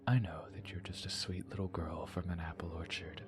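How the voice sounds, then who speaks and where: An actor playing a menacing, condescending schemer who taunts slowly and softly, often in a whisper, in an audio drama. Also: male voice, low voice, level tone, lightly acted. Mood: sinister, insinuating. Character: menacing, condescending man, soft and manipulative schemer.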